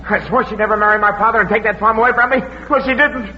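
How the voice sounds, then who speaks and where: An actor playing an agitated, devoted young farm heir in a vintage radio melodrama, urgent and emotional. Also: male voice, high voice, steady tone, very fast, slight background noise, natural voice. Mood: vehement. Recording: muffled, echoing vintage radio recording with crowd.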